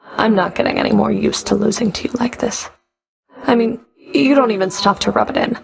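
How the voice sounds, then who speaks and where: A stern underworld Fury from a video game, her voice heavy with vocal fry at an ordinary female pitch. She is curt, dry and sardonic, giving orders with guarded, grudging authority. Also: fairly quick. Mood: cold, grudging.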